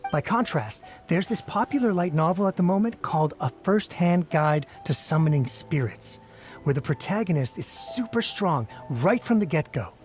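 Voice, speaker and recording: A man's voice: on the high side, lively intonation, moderate pace, faint music behind, lightly acted. A man playing a frank, laid-back young reader.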